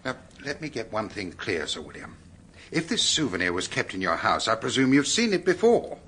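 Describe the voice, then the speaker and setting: An actor playing a brisk, courteous British police superintendent in a radio drama, formal and businesslike in his questioning. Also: lowish voice, big pitch swings, bright, forceful tone, slightly rough, full character voice.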